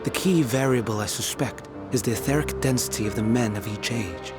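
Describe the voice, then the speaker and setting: An actor voicing a composed, grave ancient scholar in a fantasy game, speaking in a formal, deliberate, lecturing way. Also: male voice, mid-range voice, close to an everyday voice. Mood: grave, analytical. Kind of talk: scripted fantasy game voice acting. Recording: loud orchestral game music behind. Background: game soundtrack music.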